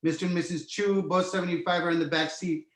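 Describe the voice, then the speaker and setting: Casting: male narrator for screenplay directions, slightly high voice, roomy echoing sound. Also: fairly even intonation, fast talker. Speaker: narrator reading stage directions. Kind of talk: screenplay table read narration, present tense.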